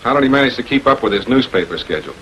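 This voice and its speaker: Male actor as public prosecutor, 1940s crime drama. Measured, authoritative, quietly persistent. Faint film noise. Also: medium pitch, animated, lightly acted. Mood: firm, composed. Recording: noisy old 1940s film soundtrack.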